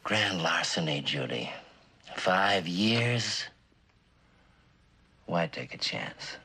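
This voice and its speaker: An actor playing a stern, calculating American police detective, deliberate and insinuating, in a mid-century crime drama. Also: male voice, low voice, expressive, gravelly, sharp tone, husky, full character voice. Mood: pressing, grave.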